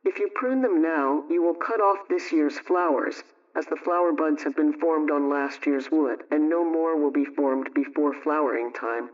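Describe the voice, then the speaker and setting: Distant, dull radio sound, higher-voiced male presenter. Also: normal speed, hardly any pauses. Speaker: radio household advice presenter. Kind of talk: scripted radio question-and-answer reading.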